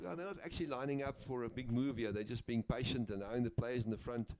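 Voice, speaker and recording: Guy doing sports commentary, laid back, lots of uhs, mellow voice. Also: medium pitch, fast, a little hiss or hum. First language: Afrikaans. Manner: easygoing, chatty, with frequent uhs and name slips. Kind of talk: live play-by-play commentary.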